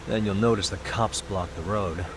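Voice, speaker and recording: Man as deep-voiced, determined detective. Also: fairly even intonation, normal speed, noisy background, lightly acted. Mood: focused, calm. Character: determined, level-headed male detective. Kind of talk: dramatic video game voice acting.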